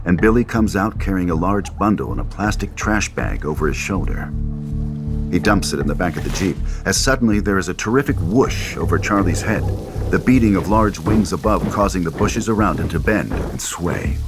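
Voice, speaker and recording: Very deep-voiced man narrating horror. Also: animated, moderate pace. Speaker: narrator reading stage directions. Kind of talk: scripted screenplay narration, horror table read. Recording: loud music under the voice.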